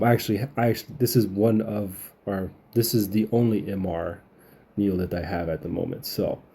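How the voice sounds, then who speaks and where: A man with a low, soft-edged and husky voice hosts a casual gaming update. He sounds like he is getting over a cold. His delivery is relaxed and informal, explaining things in a loose, rambling way. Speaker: gaming channel host. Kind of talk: casual game update commentary.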